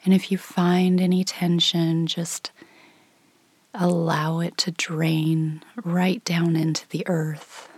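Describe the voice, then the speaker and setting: A woman leading a guided meditation in a soft, breathy, near-whispered voice, gently and without hurry, leaving long pauses. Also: fairly low pitch, level tone, no echo. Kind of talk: guided meditation, slow calm instruction.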